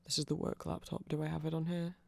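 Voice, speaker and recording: Creaky-voiced ASMR rambler, sex unclear. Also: medium pitch, monotone, fast, darkish tone. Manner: nerdy, self-deprecating, digressive. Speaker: ASMR creator explaining band lore. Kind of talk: casual rambling ASMR explainer.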